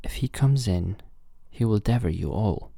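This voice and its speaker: A man voicing an old mother goat in a fairy tale, loving and gently cautioning. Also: fairly low pitch, animated, unhurried, gravelly, dry recording, clean studio sound, exaggerated voice. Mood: protective warning.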